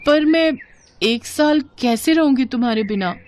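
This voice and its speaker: Woman acting a lively young college girl, expressive. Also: high-pitched, unhurried, slight background noise. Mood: playful, teasing. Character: young college girl, lovestruck, teasing, later frightened and pleading. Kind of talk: animated Hindi story dialogue.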